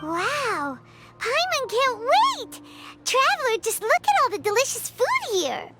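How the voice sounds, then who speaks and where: Squeaky, excitable tiny fairy girl. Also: a little slow, full character voice. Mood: excited. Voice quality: squeaky, childlike. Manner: exclaiming, bubbly, refers to self in third person. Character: tiny excitable floating fairy companion, childlike girl, food-loving. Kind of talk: animated video game voice acting. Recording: loud music behind. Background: game music.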